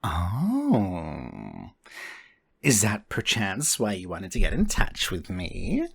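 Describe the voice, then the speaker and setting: A man's voice: sits low, wildly swooping pitch, slow pace, creaky, slightly rough, pitched below the performer's own voice, heavily acted. A man voicing a cocky, curious clawed creature in a tabletop game, speaking in a laconic, wryly amused way. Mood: intrigued.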